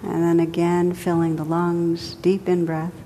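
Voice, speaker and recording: A woman teaching a guided meditation, speaking gently and unhurriedly in short phrases with pauses between them. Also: monotone. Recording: echoey hall, rumbly background noise.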